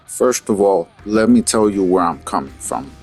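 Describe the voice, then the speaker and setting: A man's voice: deep voice, animated, moderate pace, darkish tone, soft background music. A man from Puerto Rico giving an earnest, opinionated monologue in a casual, rambling way. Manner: earnest, opinionated, talks in loose rambling asides. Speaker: vlogger.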